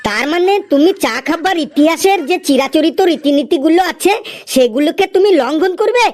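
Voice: sits high, expressive, brisk, a little hiss or hum. An actor playing a wheedling, cheeky freeloading customer in a comedy cartoon, teasing in a mock-earnest way.

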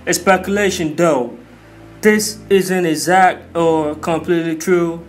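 A man's voice: a little high, slow pace. A man hosting a video, giving hyped, slangy commentary on a movie trailer. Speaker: video host. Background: music.

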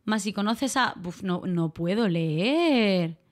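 A woman from Málaga, a streamer and voice-over artist, chatting casually with her viewers, grateful and quick to laugh. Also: mid-range voice, animated. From Málaga, Spain. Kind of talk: casual livestream chat reading donations.

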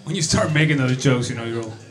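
Man, twenties, from Chile, relaxed stage banter at a live club gig, loud guitar in the mix. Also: medium pitch. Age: 20s. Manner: quick, relaxed talk with fillers and trailing off. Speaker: singer-songwriter. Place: small club stage, indoors. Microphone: vocal mic on stand. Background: acoustic guitar music.

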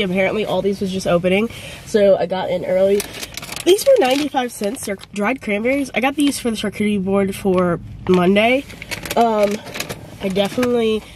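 A woman's voice: mid-range voice, darkish tone, slight background noise. A woman in her twenties from North Carolina, vlogging casually to a handheld camera and rambling with lots of ums and likes. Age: 20s. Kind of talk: casual vlog chat to camera. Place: parked car. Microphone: handheld camera or phone at arm's length.

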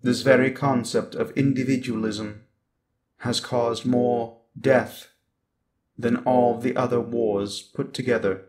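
A man playing a cold, menacing evil robot in a scripted ASMR roleplay, deliberate, with stuttering glitched repeats of syllables and words. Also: medium pitch, steady tone, darkish tone, close to an everyday voice. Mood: cold contempt.

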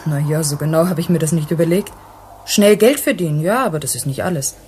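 An actress in a German radio play, playing a frank, obliging office secretary with a casual, polite manner. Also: female voice, deep voice, quick pace, a little mellow, a little hiss or hum, lightly acted. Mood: reflective.

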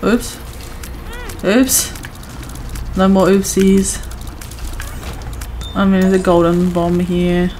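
A woman chatting casually while playing a video game, her voice a little hoarse from talking too much. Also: medium pitch, level tone, extremely slow, pauses often, dark tone, a little hiss or hum. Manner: chatty, self-reassuring, talks to viewers. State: voice going hoarse from overuse. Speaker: gaming streamer. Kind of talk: casual gameplay commentary.

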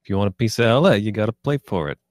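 A menacing, crude gambler rules the poker table, voiced by a man in a film table read. His voice sits fairly low and very dry, and he talks in curt, profane commands. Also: lively intonation, very fast, darkish tone, dry recording, natural voice. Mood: mocking, commanding.

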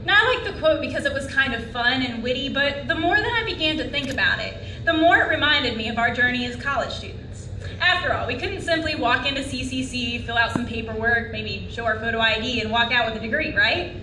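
A woman's voice: sits high, a little fast, sharp tone. Young woman, graduation speaker, earnest, lectern mic, roomy auditorium, faint hum behind. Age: young adult. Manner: earnest, rhetorical questions to the audience. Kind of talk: commencement speech. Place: large auditorium stage at a lectern. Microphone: lectern microphone. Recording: echoey hall from far off.

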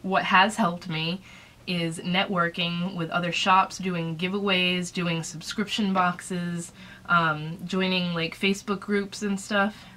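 A woman's voice: fairly low pitch, bright, forceful tone, slight background noise. A woman in her thirties giving casual advice about running a small shop, chatty and rambling, her throat dry from a recent illness, recorded on a webcam in a small room. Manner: chatty, rambling, lots of fillers. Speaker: small business owner vlogger.

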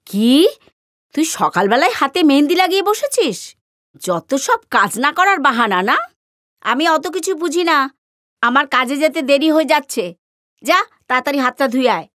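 A woman's voice: sits high, big pitch swings, normal speed, long pauses, no echo, clean studio sound. A woman voicing a hardworking widowed mother in a cartoon story, scolding but tender. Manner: scolding, then gentle.

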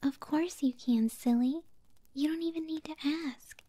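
A woman voicing a possessive, doting moth monster girlfriend in a soft, sweet, breathy ASMR whisper, close to the microphone. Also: sits high, a little slow, dry recording. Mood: affectionate. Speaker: ASMR roleplay performer.

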